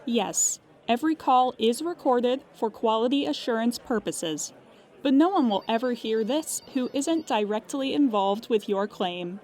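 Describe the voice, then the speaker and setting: A woman playing a calm, formal claims agent who speaks politely and methodically on a phone call in an improvised comedic audio drama. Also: on the high side. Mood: matter-of-fact. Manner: polite, measured, procedural, matter-of-fact.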